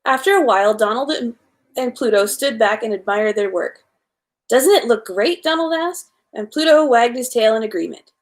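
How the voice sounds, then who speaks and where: A woman's voice: on the high side, expressive, normal speed. A woman hosting a children's story time, reading a storybook aloud in an informal way with small stumbles.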